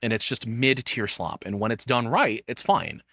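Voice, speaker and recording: Bright-voiced rambling guy reacting. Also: medium pitch, expressive, normal speed, no echo, studio quality. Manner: rambling, sweary, exasperated. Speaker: streamer reacting to a video essay. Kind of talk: casual reaction commentary.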